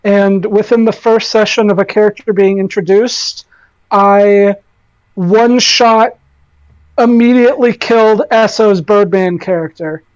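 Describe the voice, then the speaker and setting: A woman with a loud voice chatting casually as a podcast guest, hesitant and trailing off with fillers. Her voice sits mid-range. The sound is dull and lo-fi, with no room around it. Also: mid-range voice, fairly even intonation, measured pace, no echo. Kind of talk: casual chat.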